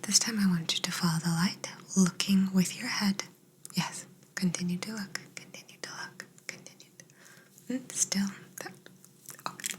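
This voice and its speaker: A woman playing a curious, gently clinical alien in an ASMR roleplay, whispering soft, breathy instructions in a soothing, measured way with murmured hums. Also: mid-range voice, close to an everyday voice. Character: curious, gently clinical female alien examining an abducted human.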